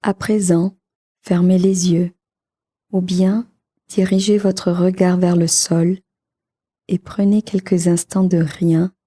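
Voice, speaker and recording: A woman leading a guided meditation for sleep in a calm, soothing, measured manner, with a soft voice that turns breathy at times. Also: lowish voice.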